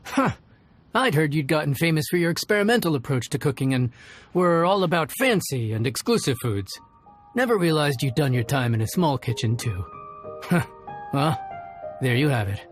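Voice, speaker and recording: A man's voice: fairly high pitch, expressive, normal speed, faint music behind, close to an everyday voice. A man voicing a plain-spoken, good-natured inn chef in a video game, with an easygoing, folksy manner. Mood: friendly, reflective. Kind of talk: game voice acting.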